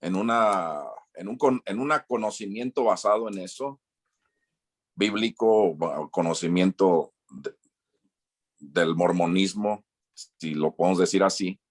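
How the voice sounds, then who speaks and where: Mexican man, low-pitched, former missionary guest; hesitant, restarting interview answers. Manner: halting, many restarts and repeated words.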